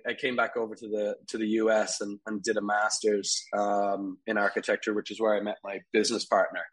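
A man in his thirties, from Ireland, talking casually as an interview guest, rambling with fillers and soft laughs. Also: on the low side, poor audio. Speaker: guest, furniture company CEO.

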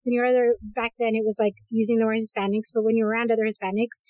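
Animated woman in her forties, host. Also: a little high, fast talker, no echo, rough recording. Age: 40s. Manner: animated storyteller, acts out quotes. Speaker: podcast host. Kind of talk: casual chat.

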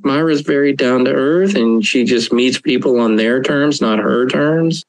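A man talks casually as a guest author, rambling a little and filling gaps with "you know". His pitch sits in an average range, and the recording is dry and close with no room sound. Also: measured pace, dry recording, poor audio. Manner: rambling, chuckling, many fillers. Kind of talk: casual interview chat.

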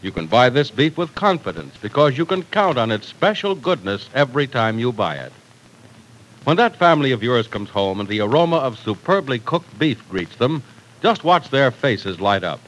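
A man's voice: very animated, normal speed, a bit bright, poor audio. A man announcing and selling for a 1940s radio drama, upbeat and persuasive. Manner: upbeat, persuasive sales pitch.

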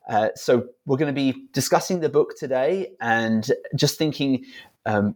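A man's voice: medium pitch, darkish tone. A man hosting a book podcast, an assistant professor introducing his guest formally with occasional fillers.

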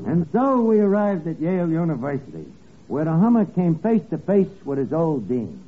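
An actor playing an earnest detective sidekick who narrates a 1950s radio comedy spoof with mock-serious emphasis. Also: male voice, on the high side, sing-song, normal speed, husky, faint music behind. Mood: dramatic narrating. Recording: crackly old radio broadcast, narrow band, studio audience.

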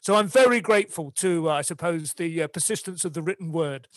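A man's voice: on the high side, a little fast, dry recording. A man co-hosting a podcast in casual chat, wry, articulate and opinionated. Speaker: guest co-host.

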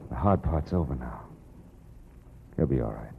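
An actor playing a hard-boiled, world-weary private eye who narrates in a dry, brooding tone, in a 1940s radio drama. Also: male voice, a bass voice, lively intonation, normal speed, creaky, full character voice. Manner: dry, brooding, tough-talking. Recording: muffled old radio broadcast with music.

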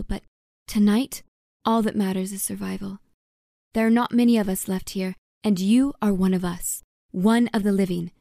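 A man's voice: high-pitched, vocal fry, mellow, dry recording, studio quality, pitched above the performer's own voice. A man voicing a stern, protective old woman, a priestess who urges a frightened young man to come with her. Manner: firm, urging. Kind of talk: audiobook character voice.

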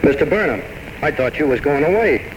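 A man's voice: a little high, sing-song, fairly quick, muffled recording. A man playing a plain-spoken, world-weary bartender in an old-time radio drama, blunt and folksy in his ungrammatical working-class speech. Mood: reproachful. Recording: noisy vintage radio recording.

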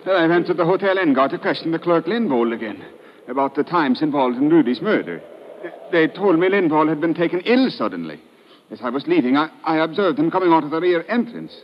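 An actor playing an earnest police lieutenant from Oslo in an old radio drama, speaking formally and a little haltingly. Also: male voice, fairly high pitch, animated, lightly acted. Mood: earnest, reporting. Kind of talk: 1950s radio drama acting. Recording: muffled, faintly noisy old radio recording.

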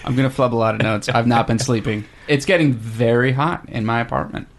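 A man's voice: medium pitch, a little fast. A man appearing as a podcast guest, playful and giggly, poking fun at himself in casual banter. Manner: playful, giggly, self-deprecating.

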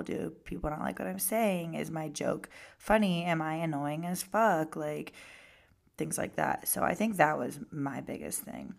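A woman in her twenties hosting her own podcast, talking casually with a raspy, hoarse voice and many "like" fillers. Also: on the low side. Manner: chatty, lots of "like" fillers. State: hoarse from singing and screaming. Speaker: podcast host. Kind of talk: casual solo podcast talk.

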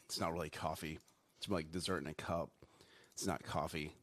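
A man co-hosting a casual live morning show, excitable and jokey, laughing often. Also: a bass voice, fairly quick, a little hiss or hum. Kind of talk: casual live-stream chat.

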